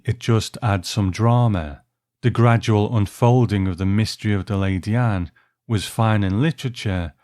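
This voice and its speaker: A man presenting scripted, analytical narration in a measured storytelling style. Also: fairly low pitch, a little mellow. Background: music.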